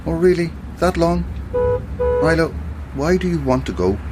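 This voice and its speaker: A man's voice: fairly high pitch, animated, moderate pace, heavy background noise, natural voice. An actor playing a sardonic, grumbling male companion in a naturalistic audio drama, with a wry manner. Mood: doubtful.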